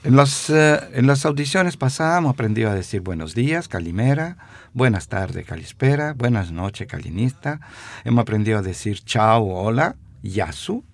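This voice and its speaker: A man giving a radio language lesson, repeating phrases slowly with hesitant fillers. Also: mid-range voice, expressive. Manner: slow, repeats phrases for dictation; stumbling fillers.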